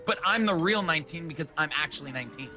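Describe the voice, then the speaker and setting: Man, tabletop player in character; high, bouncy, nervous rambling, music clearly behind. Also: animated, quick pace, pushed and bright. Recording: background music clearly heard.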